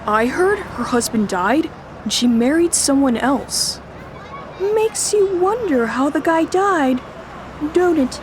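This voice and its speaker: A woman's voice: high voice, expressive, slow pace, noisy background. A woman playing a chunky, wisecracking middle school boy in a family audio drama, breezy and teasing. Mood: intrigued.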